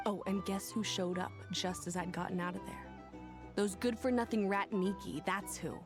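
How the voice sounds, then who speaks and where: A woman's voice: medium pitch, fairly even intonation, moderate pace, music in the background, close to an everyday voice. An actress playing a flippant, evasive young woman in a video game. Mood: grumbling. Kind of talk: video game character acting.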